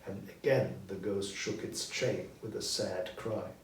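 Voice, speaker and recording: A man reading a story aloud as narrator, in an expressive scripted storytelling style. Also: sits low, a little slow, gravelly. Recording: roomy echo, distant mic, background noise.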